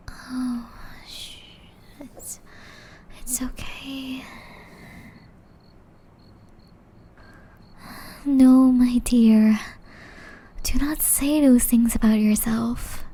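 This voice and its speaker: A woman voicing a gentle, caring young witch in love, whispering softly and tenderly in an ASMR romance roleplay. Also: on the high side, fairly even intonation. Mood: tender, soothing. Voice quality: soft, breathy whisper. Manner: slow, tender, intimate delivery.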